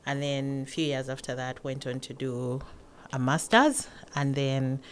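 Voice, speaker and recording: A woman in her forties from northern Uganda, a guest answering interview questions in a calm, measured way. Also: a bass voice, a little hiss or hum. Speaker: podcast guest, academic.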